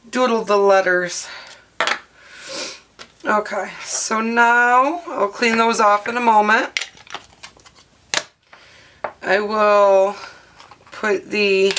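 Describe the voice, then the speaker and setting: A hoarse woman in her thirties, her voice partly lost, chatting casually with many fillers as she talks through a craft tutorial at her home studio desk. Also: medium pitch, pushed and bright, slight background noise. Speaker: craft video host. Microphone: overhead camera mic, speaker off-frame.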